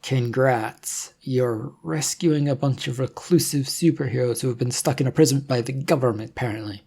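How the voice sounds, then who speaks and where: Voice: medium pitch, moderate pace, creaky, dark tone, husky. A narrator voicing an exasperated, suspicious young male hero in an adventure audiobook, with an indignant, sarcastic, rambling manner.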